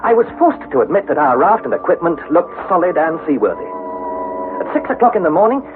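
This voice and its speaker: A man's voice: a little high, big pitch swings, fairly quick. An actor playing an earnest young adventurer, narrating his perilous journey in a formal, dramatic vintage radio style. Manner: formal, precise, dramatic storytelling. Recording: tinny, noisy 1960s radio recording.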